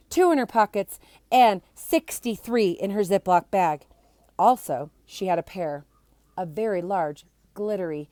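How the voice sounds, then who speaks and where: A woman reads a children's storybook aloud as an amateur narrator. Her delivery is expressive and animated, at a middling pitch. The sound is dry, with no room echo.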